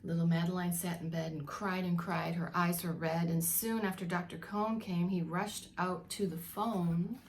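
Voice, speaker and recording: A woman preschool teacher reading a picture book aloud to young children, expressively, pausing to explain and ask questions. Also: lowish voice, fairly even intonation, slight background noise.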